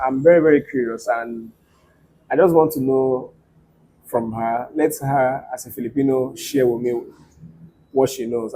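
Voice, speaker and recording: A man's voice: mid-range voice, darkish tone, a little hiss or hum, poor audio. A young man from Nigeria hosting a casual chat, asking curious, teasing questions. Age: young adult. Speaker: host.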